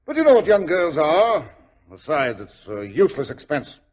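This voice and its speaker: A man's voice: fairly high pitch, huge pitch swings, moderate pace. An actor playing a nervous, dismissive stepfather in a 1940s radio drama, hesitant and ingratiating. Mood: uneasy, dismissive. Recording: dull, rough vintage radio recording.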